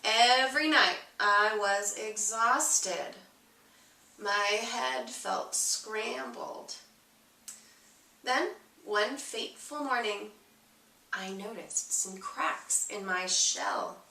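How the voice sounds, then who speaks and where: A woman voicing an earnest, eager-to-please egg who tells a storybook tale, with expressive, emphatic delivery. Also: medium pitch, extremely slow, reverberant, distant mic, heavily acted, poor audio. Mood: weary, fretful.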